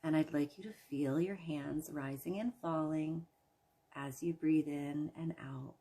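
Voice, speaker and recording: A woman leading a guided imagery relaxation in a soothing, gentle manner, leaving long pauses between phrases. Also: sits low, steady tone. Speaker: guided meditation host.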